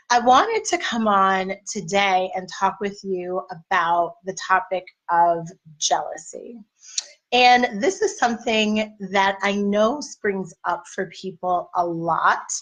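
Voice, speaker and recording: Slightly raspy woman giving encouraging talk. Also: medium pitch, poor audio. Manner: conversational, encouraging, faith-based. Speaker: life and marriage coach. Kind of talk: casual motivational talk.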